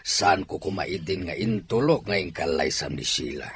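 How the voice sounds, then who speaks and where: A man's voice: low voice, lively intonation, normal speed. A middle-aged man playing an admonishing father in an audio drama, speaking expressively. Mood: earnest, admonishing. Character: father urging his married son to keep peace. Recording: faint background noise.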